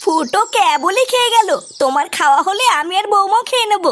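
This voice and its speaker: A woman's voice: extremely high pitch, expressive, a little fast, fairly bright tone. A woman voicing a nagging, impatient village grandmother and housewife in a comic cartoon dub. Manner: nagging, complaining.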